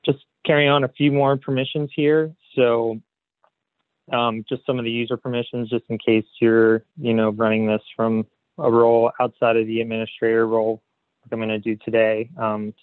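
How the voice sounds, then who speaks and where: Flat-toned man on a phone-like line. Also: lowish voice. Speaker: accounting software consultant and webinar presenter. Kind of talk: webinar presentation, explaining slides. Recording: phone-like narrow band, roomy echo.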